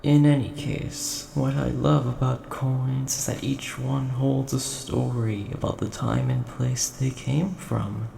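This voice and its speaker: A man plays a huge, age-old dragon with a scholarly bent, gentle and formal. He rambles unhurriedly in a dark, relaxed tone, like a storyteller in an audio roleplay. The sound is roomy and echoing. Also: medium pitch, takes it slowly, vocal fry, few pauses. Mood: fond, wistful. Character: large ancient nerdy dragon, gentle, scholarly, coin collector. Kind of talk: scripted audio roleplay addressed to the listener. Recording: roomy echo, faint background noise.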